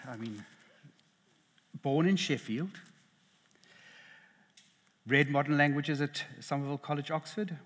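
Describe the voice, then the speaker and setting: A man's voice: medium pitch, fairly bright tone, breathy edge. A middle-aged South African man chairing a literary panel, self-deprecating and hesitant, with many restarts. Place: lecture hall. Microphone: headset. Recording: reverberant hall.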